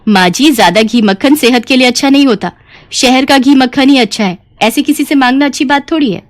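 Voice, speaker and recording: A woman voicing a sensible, patient young daughter-in-law in a cartoon story, polite and gently remonstrating. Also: high voice, fairly quick, lightly acted.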